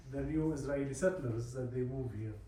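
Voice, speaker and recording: A Palestinian man in his forties with an Arabic accent, a native Arabic speaker, giving an informal personal talk in a halting way with repeated words. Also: medium pitch, mellow. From Palestine, West Bank. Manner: halting, often repeats words and adds "you know". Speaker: speaker from a peace organisation. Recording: very echoey room, distant mic, faint noise.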